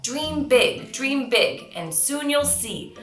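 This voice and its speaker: A woman's voice: high voice, big pitch swings, slow pace, a bit bright, pitched above the performer's own voice, full character voice. A woman voicing a cocky, grandiose young male kitten with a pompous manner for a storybook. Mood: wistful, pompous. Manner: pompous, speechifying. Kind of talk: storybook character voice. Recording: roomy echo, music behind.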